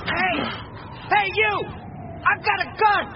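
An actor playing an alarmed armed bystander shouting threats in an audio drama. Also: male voice, very high voice, fairly even intonation, takes it slowly. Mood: alarmed threatening. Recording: dull, noisy radio recording, crowd.

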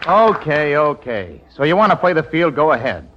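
Eager lovesick young fella, old time radio comedy, lively pitch, muffled recording. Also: male voice, moderate pace, noisy background. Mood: eager, scheming. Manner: earnest, excitable. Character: eager, lovestruck young man, earnest would-be rescuer. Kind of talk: 1940s-50s radio comedy acting. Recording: dull old radio recording, faint music.

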